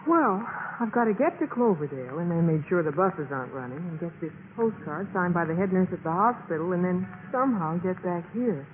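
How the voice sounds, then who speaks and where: An actress playing an earnest, spirited young American college pledge in a 1950s radio drama. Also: female voice, lowish voice, animated, fairly quick, hardly any pauses, lightly acted. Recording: muffled, noisy old radio recording.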